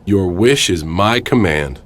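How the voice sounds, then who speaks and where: A man's voice: low voice, animated, slow pace, fairly bright tone, full character voice. A man playing a brash, fearless hero wrestler with a sarcastic manner in a video game cutscene. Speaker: lead wrestler character. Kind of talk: scripted game cutscene dialogue.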